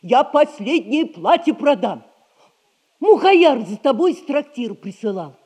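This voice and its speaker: A woman's voice: high voice, big pitch swings, unhurried, a little mellow, husky, heavily acted. An actress playing a poor, honest, plain-spoken middle-aged widowed mother in a theatrical audio comedy. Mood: firm, homely. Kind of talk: classic Russian stage comedy acting, audio play.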